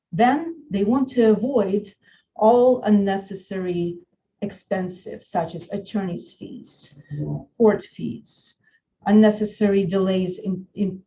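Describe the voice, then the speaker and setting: A woman from Russia with a Russian accent, an attorney giving an earnest, deliberate webinar presentation with frequent restarts. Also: mid-range voice, warm tone, muffled recording, a little hiss or hum.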